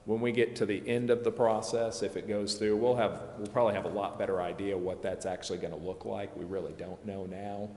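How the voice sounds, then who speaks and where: A man's voice: lowish voice, steady tone, fairly quick, hardly any pauses, a little mellow. A man speaking as a lawyer advising a public meeting, explaining informally in a plain-spoken, discursive, self-deprecating way. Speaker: lawyer advising a city council. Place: indoor council chamber. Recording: echoey hall with long reverb, background noise.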